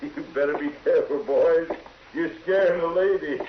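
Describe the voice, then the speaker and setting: A man's voice: on the high side, normal speed, close to an everyday voice. A man playing a cocky, menacing outlaw with taunting frontier talk in a 1950s western radio drama. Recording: dull, hissy old radio recording.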